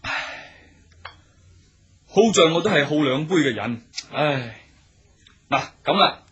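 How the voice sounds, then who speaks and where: A man's voice: on the high side, lively intonation, slow pace, a bit bright, lo-fi sound. A man playing an eager, deferential innkeeper in a radio drama, chatty and full of small interjections, with a Hong Kong Cantonese accent. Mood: placating. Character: eager, talkative, obliging male innkeeper serving travellers.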